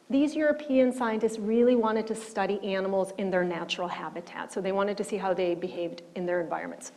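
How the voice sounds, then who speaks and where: A middle-aged woman who grew up in Switzerland with German as her first language, giving a measured public lecture on the history of science with slides and some fillers. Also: medium pitch. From German-speaking Switzerland. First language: Swiss German. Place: lecture hall.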